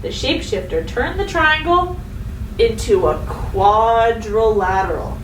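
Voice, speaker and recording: A woman schoolteacher giving a read-aloud lesson to young pupils, speaking instructively and directly, with expressive emphasis. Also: fairly high pitch, unhurried. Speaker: elementary school teacher. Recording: roomy, distant mic with faint noise.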